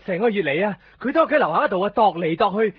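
Exasperated young man on the run, higher-pitched, Hong Kong Cantonese, evasive and insistent, muffled nineties radio drama. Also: lively intonation, very fast, slightly rough, no room sound. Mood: worried. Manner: exasperated, emphatic, repeats himself. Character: anxious, evasive young man hiding from a clinging ex. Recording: muffled 1990s radio drama over music.

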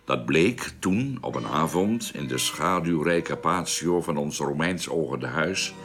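A reflective space explorer with a deep voice and expressive melody, telling his memoir in measured literary phrases over music. It has the style of radio drama narration. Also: full character voice.

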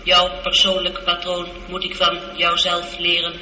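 A woman playing a polite, logical ship's computer in a science fiction radio drama, speaking in a measured, formal, precise way through a reverberant effect. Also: fairly low pitch, monotone, sharp tone. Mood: gently matter-of-fact. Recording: echoey, dull, lightly noisy radio recording.

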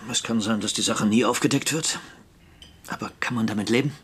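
An actor playing a measured, image-conscious politician with a deliberate, conciliatory and formal manner in a radio drama. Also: male voice, lowish voice, a bit bright, natural voice. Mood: grave, measured.

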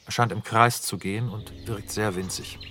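A man's voice: on the low side, steady tone, moderate pace, bright, forceful tone, faint music behind. A man narrating stage directions in a radio play, plain and matter-of-fact. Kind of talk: scripted narration.

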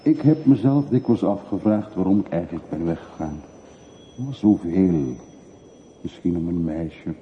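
A dull, faintly noisy old radio play recording carries an actor as a middle-aged man who once emigrated. His voice is on the low side. He is reticent and reflective, weighing his words in a measured way. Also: lightly acted. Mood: reserved. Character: wealthy-born middle-aged emigrant returning home, reserved, reflective. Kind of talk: radio drama acting.

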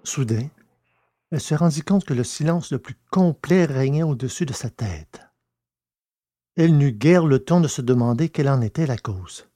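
A man's voice: mid-range voice, lively intonation, moderate pace, mellow, dry recording, clean studio sound. A man reading scripted narration for an audio drama.

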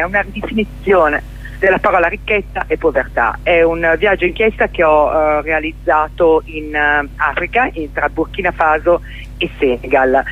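A middle-aged woman being interviewed on the radio, explaining her work in a halting, reflective way and repeating words for emphasis. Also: on the low side, phone-quality sound. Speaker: interview guest, theatre journalist and performer.

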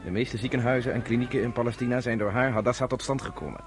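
An actor playing an earnest, self-assured young man of the underground in a Dutch radio play, with a measured way of explaining things. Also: medium pitch, steady tone, close to an everyday voice.

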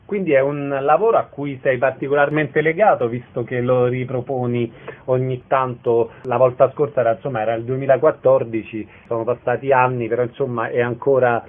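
A man's voice: mid-range voice, fairly even intonation, fairly quick. Male radio interviewer, thin phone-like sound.

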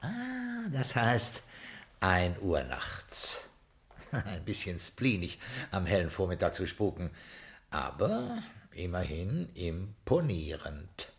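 An actor playing a nervous, formal old butler in an old radio crime play, talking in a deferential, stammering way. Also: male voice, sits low, wildly swooping pitch, slow pace, exaggerated voice. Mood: excited, fussy.

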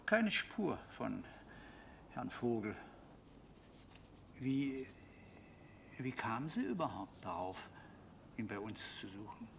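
A man's voice: medium pitch, sing-song, slow pace, creaky, pauses often, recorded from a distance, slight background noise, exaggerated voice. A man playing a meek, anxious stepbrother in a radio drama, speaking in a hesitant, deferential and precise way. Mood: dry, puzzled. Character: meek, anxious stepbrother of two elderly teacher sisters. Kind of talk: German radio drama acting.

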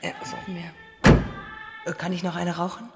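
An actress playing a brash, casual bank robber with a colloquial, teasing manner in a naturalistic radio drama. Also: female voice, lowish voice, fairly even intonation, normal speed, lightly acted. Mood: casual, wondering. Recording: music behind the voice. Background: music.

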